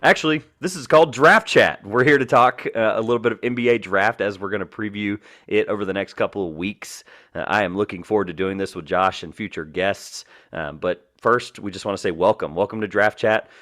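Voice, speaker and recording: A man's voice: lowish voice, a little fast, a bit bright. A man from North Carolina hosting a podcast in a casual, informal and self-deprecating way. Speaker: podcast host.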